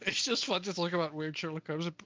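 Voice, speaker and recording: Woman co-host, low voice, casual rambling talk, soft music behind. Livestream chat. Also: fairly even intonation, fast talker, no echo. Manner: rambling, searches for names, laughs often. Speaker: book club co-host.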